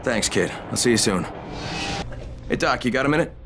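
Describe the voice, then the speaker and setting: An actor playing a stubborn, casual young hero in a video game, speaking directly. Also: male voice, medium pitch, animated, moderate pace, noisy background, lightly acted. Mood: businesslike. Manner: informal, direct, earnest.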